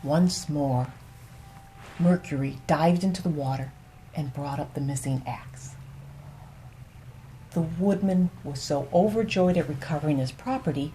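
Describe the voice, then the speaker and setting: Female bedtime storyteller, slightly low voice with a soft, mellow tone, soothing and unhurried reading of fables. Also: a little hiss or hum, rough recording.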